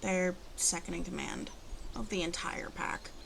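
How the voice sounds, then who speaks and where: A woman playing a protective, commanding female werewolf in an ASMR roleplay, talking slowly and deliberately to the listener in a soft, guarded way. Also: fairly low pitch, fairly even intonation, creaky, slight background noise, exaggerated voice. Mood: matter-of-fact, explaining.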